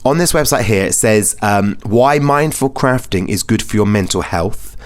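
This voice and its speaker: A man's voice: fairly low pitch, expressive, moderate pace. A British man hosting a casual podcast interview, encouraging and effusive with his guest. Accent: British English. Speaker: podcast host.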